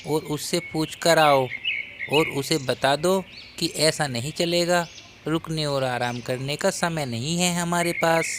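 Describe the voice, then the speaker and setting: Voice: mid-range voice, measured pace, noisy background, lightly acted. A performer voicing a bossy, impatient bird giving orders to the flock in a cartoon moral story.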